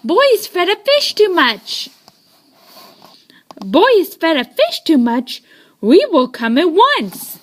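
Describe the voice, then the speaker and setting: Woman as an urgent, high-pitched yelling fireman. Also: very animated, slow pace, long pauses, full character voice. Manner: loud, urgent calling. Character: excited, urgent firemen calling out orders.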